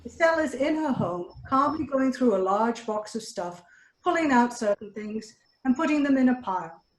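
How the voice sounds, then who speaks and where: Lady host reading scripted intro, measured, kinda high voice, echoey room. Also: expressive. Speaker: presenter introducing sketches.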